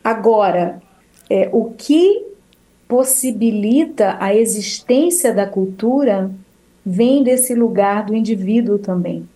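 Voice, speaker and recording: A Brazilian woman, a singer who leads choirs, talking in a relaxed, rambling way in an interview, with many restarts and tag questions. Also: medium pitch, slow. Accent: Brazilian Portuguese. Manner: warm, rambling, with restarts and frequent "né?". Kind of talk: casual interview talk.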